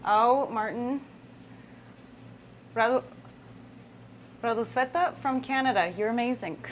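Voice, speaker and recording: A woman's voice: a little high, slow. A woman in her thirties hosting a casual live cooking demonstration, talking conversationally through each step. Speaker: cooking show host. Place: indoor kitchen set with wooden worktop. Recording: noisy room sound with kitchen ambience.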